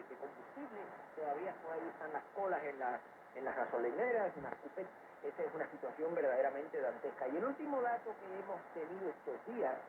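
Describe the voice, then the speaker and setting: Shortwave-muffled man, higher-pitched, through heavy noise. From Cuba. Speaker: guest economist, professor. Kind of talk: live radio interview commentary on economics. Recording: noisy shortwave radio capture, narrow phone-like band. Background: loud shortwave static and noise.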